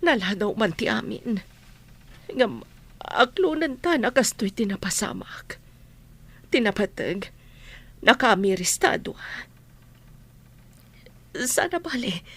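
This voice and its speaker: Woman playing older Filipino mother, audio drama, loving, anguished, breathy husky voice, expressive intonation. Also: on the high side, slow, long pauses, exaggerated voice. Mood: sorrowful, tender.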